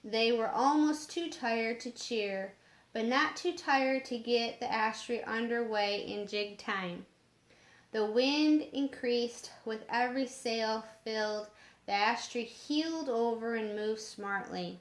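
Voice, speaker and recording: A woman reading a story aloud in a measured way, sometimes stumbling over harder words, in an echoing room. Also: on the high side. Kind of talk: storybook narration. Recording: roomy echoing home recording.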